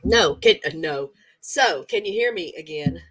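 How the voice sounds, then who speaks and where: A woman hosting a cooking livestream from her home kitchen, chatting to viewers in a rambling way while she works, her voice a little lower than usual from a cough. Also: animated, measured pace, fairly bright tone, a little hiss or hum, poor audio. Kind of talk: casual livestream chat while baking. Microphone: phone mic.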